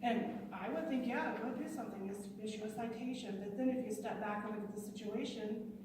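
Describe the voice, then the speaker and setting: A woman's voice: a little high, fairly quick, darkish tone. A woman running for city council, answering earnestly and explaining her view with everyday examples at a candidate forum. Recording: echoey hall from far off, noisy.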